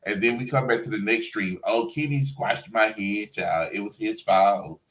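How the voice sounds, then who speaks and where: A man's voice: lowish voice, moderate pace, a bit bright. A man co-hosting a casual TV review chat, speaking colloquially and teasingly, repeating himself for emphasis. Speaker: co-host of a TV review livestream.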